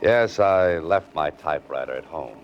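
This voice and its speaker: A very low-voiced male writer on the run, cool and wry. He tosses off deadpan wisecracks in 1940s radio detective style, on a muffled old-time radio recording. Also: normal speed, loud music behind the voice. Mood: dry, guarded.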